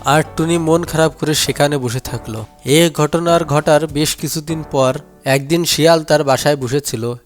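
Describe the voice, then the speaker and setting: A man's voice: a little high, moderate pace, faint music behind. A man narrating a scripted children's animal story.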